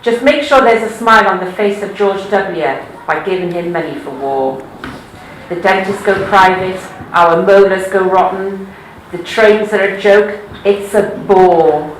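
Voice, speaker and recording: A woman's voice: medium pitch, a little slow, a little hiss or hum. A woman from Wales with a Cardiff accent, reading poems aloud at a live event with chatty asides in between. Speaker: poetry reader. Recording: echoey room.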